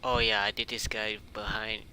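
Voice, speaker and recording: A man who is not a native English speaker, casually commenting on a game stream in halting English through an uncovered, popping microphone. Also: on the low side, sing-song, vocal fry, sharp tone. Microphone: uncovered microphone with breath pops. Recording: noisy mic with breath pops.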